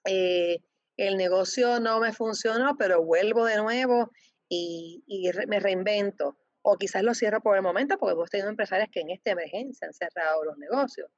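A woman representing a foundation, answering interview questions with many pauses, fillers and restarts. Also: medium pitch, no echo. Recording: dull lo-fi dry sound.

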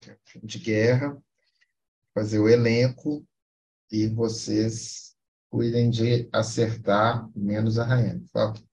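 A man hosting a quiz on a casual podcast, playful and teasing, reading out film credits in halting bits. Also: on the low side, steady tone, gravelly, darkish tone, slight background noise, poor audio.

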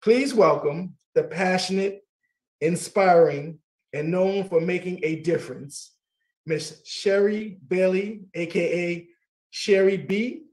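A middle-aged man hosting a casual talk radio show, warm and laughing easily, often repeating his words. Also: sits high, slow.

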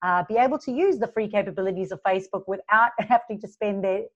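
A woman's voice: medium pitch, fast talker. A woman in her forties with a South African accent mixed with Australian, talking casually as an interview guest and laughing often between phrases. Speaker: guest, business coach. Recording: slightly dull lo-fi sound.

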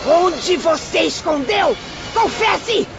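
A cruel, greedy fishwife mother scolds in a high voice that swings wildly. She spits insults and commands in radio melodrama, on a dull recording with street noise behind her. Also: lively intonation, slow pace, over music, exaggerated voice. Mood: furious, accusing. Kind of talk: melodramatic radio drama acting.

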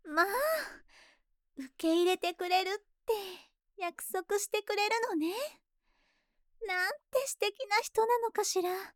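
A woman voicing a lovesick, obsessively devoted female spider monster in an ASMR voice drama, speaking in soft, intimate, doting tones. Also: very high-pitched, no room sound, studio quality. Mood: elated, infatuated. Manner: slow, intimate, doting feminine speech. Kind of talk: Japanese ASMR situation voice drama.

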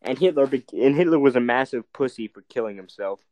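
A man's voice: medium pitch, expressive, fast talker, dark tone, no room sound. Teasing young man, crude podcast banter. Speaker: podcast co-host.

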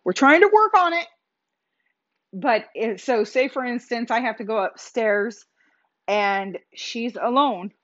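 A woman hosting her own podcast, talking casually in a loud, emphatic voice with many restarts. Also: on the high side, animated, measured pace, lo-fi sound. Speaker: podcast host. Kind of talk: casual solo podcast monologue.

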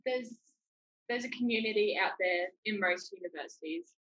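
Young New Zealand woman, bright husky voice. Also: mid-range voice, moderate pace, lo-fi sound. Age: young adult. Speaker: podcast host, university student. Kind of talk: casual chat.

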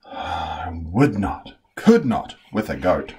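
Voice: low-pitched, very animated, slow, a little mellow, breathy edge, exaggerated voice. A performer voicing a grumpy, stubborn old male grouch with curmudgeonly, emphatic refusals in a picture-book read-aloud.

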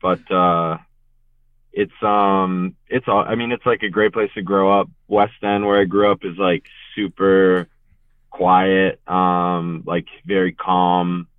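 A man's voice: sits low, level tone, slow pace, clear, resonant tone, no room sound. A young man from Richmond, Virginia, guesting on a podcast and talking about his bands in a rambling way, full of filler words. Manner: laid-back, rambling, heavy on filler words. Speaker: guest musician. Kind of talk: casual podcast interview. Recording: tinny, phone-like remote call.

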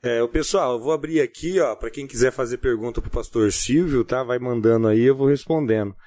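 A Brazilian man hosts a podcast in a casual, conversational way. His medium-pitched voice is recorded dry, with hardly any room around it. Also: fairly quick, dark tone, no echo. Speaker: podcast host.

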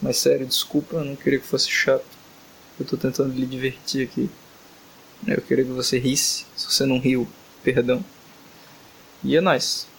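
A man's voice: medium pitch, flat delivery, normal speed, creaky, pauses often, fairly bright tone, a little hiss or hum, rough recording. A young man hosting a casual podcast, reading a fanfic aloud and joking, tired and exasperated late at night. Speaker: podcast host.

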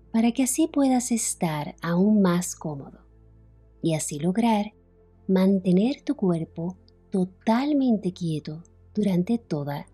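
A woman leading a guided relaxation as a meditation instructor, soothing and unhurried, with long pauses between phrases. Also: mid-range voice, mellow, breathy edge. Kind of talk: guided relaxation, yoga nidra narration.

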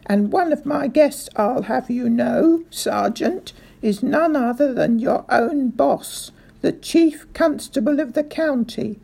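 A woman voicing a blustering, angry rich male landowner who shouts and bullies. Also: a little high, vocal fry, husky, voice pushed up, exaggerated voice. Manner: shouting, furious, overbearing.

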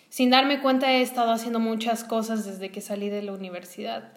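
A woman's voice: a little high, echoey room. A Mexican woman in her twenties, co-hosting a podcast in casual, chatty conversation. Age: 20s. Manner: chatty, laughs easily. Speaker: podcast co-host. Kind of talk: casual chat.

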